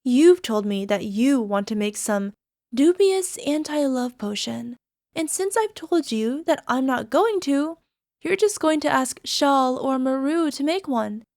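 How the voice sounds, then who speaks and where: A lovesick young alchemist girl acted out by a woman speaks in a fairly high voice with no room echo. She coaxes coyly and sounds sweet with a possessive, menacing edge, in the style of an ASMR girlfriend roleplay. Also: animated, a little slow, darkish tone, studio quality. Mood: smug, calm. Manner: coy, coaxing, giggly, tag questions. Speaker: voice actor.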